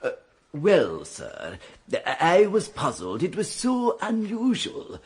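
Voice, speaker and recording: English manservant, deferential, meticulous, hesitant, highly animated pitch, raspy, period radio drama. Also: male voice, slow pace, full character voice. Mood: puzzled. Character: deferential, precise English manservant in a 1920s country house. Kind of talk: radio mystery drama acting.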